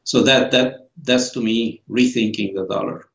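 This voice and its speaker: Romanian man, slow and halting. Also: on the low side, fairly even intonation, creaky, a bit bright, echoey room. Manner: slow, halting, many fillers and restarts. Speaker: author and guest. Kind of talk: interview answers.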